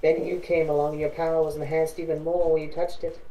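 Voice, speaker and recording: Woman as young fantasy princess, soft mellow tone, slightly low, earnest, serious, amateur story reading. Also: level tone, a little fast, natural voice, rough recording. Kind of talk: amateur dramatic fan-fiction reading.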